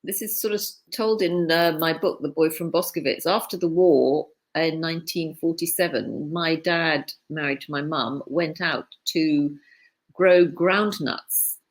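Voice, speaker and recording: Low-voiced older woman, chatty and wry. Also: unhurried. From East Africa. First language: Swahili. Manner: chatty, wry, trails off mid-thought. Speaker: guest. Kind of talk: casual conversation.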